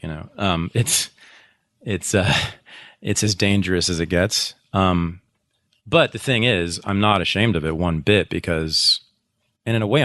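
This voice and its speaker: A man's voice: low-pitched, a bit bright, no echo. A man talking at length in a live podcast discussion, circling back, correcting himself and laughing now and then. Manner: rambling, self-correcting, laughs often. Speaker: podcast guest, teacher.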